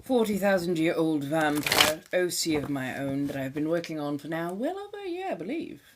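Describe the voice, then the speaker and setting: A woman's voice: low-pitched, big pitch swings, normal speed, very noisy. A woman with a put-on 1700s colonial transatlantic accent, chatting with viewers on a live stream in a theatrical vampire persona, with ornate wording and calling people darling.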